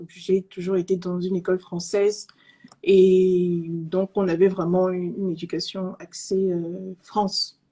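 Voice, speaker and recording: A woman from Cameroon, raised in Zimbabwe in an English-speaking home, speaking softly and hesitantly with many fillers as an interview guest over a call microphone. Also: on the low side. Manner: soft-spoken, hesitant with many fillers and restarts. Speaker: guest, pastry entrepreneur. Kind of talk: casual online interview. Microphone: remote call microphone.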